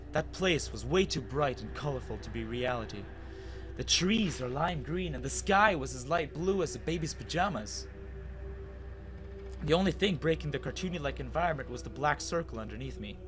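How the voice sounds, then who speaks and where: A man's voice: medium pitch, animated, normal speed. Man narrating a dramatic story over music. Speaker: narrator and author of a fan fiction reading.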